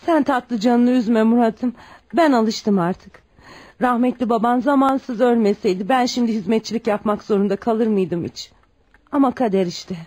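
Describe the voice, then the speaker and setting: A woman's voice: fairly high pitch, moderate pace, dark tone, close to an everyday voice. An actress playing a devoted, self-sacrificing widowed mother who works as a maid, pleading tenderly and emphatically in a radio drama. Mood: resigned, pleading. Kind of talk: scripted radio drama acting.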